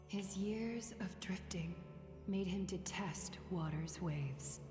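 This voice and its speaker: An actress playing a solemn storyteller of heroic legend in a video game. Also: female voice, fairly low pitch, takes it slowly. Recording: echoey long reverb under music.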